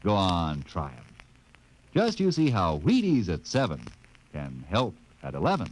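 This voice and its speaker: A man's voice: low-pitched, wildly swooping pitch, measured pace, slight background noise, rough recording. A man delivering a 1950s radio commercial in a folksy, chummy, emphatic selling manner. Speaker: radio pitchman.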